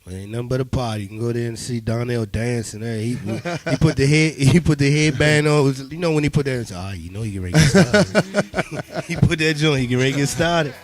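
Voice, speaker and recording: Informal male radio host, medium pitch. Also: brisk. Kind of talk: casual radio interview.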